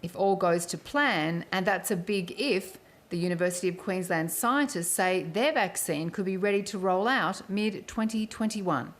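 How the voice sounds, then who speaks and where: A woman's voice: medium pitch, moderate pace. A woman reading a scripted news report as a reporter.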